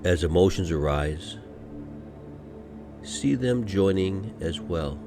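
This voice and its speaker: A man guiding a meditation from a script in a gentle, soothing, unhurried manner, pausing often between short phrases. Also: low-pitched, lively intonation, music in the background. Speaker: meditation guide. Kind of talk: guided meditation, scripted narration.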